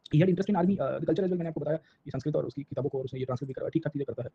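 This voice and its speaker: Indian male lecturer, rapid-fire and raspy, conversational classroom delivery full of check-in questions, on dull lo-fi audio. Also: on the high side, warm tone. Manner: conversational, peppered with rhetorical check-in questions and tag words; mixes Hindi and English. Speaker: history teacher.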